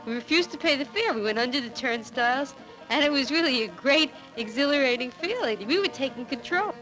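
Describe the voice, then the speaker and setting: A woman's voice: high-pitched, animated, a little fast, fairly bright tone, low-quality recording. An American woman activist speaking earnestly in a documentary. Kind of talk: earnest documentary interview and commentary. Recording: old newsreel film sound with music behind. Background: music.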